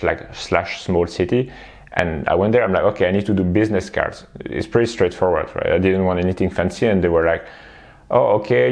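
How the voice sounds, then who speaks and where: A man from Belgium, talking casually to his viewers and often dropping in "like" and "right?". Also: low voice. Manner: relaxed, chatty, many fillers and tag questions. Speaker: vlogger and online coach. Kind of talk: casual talk to camera.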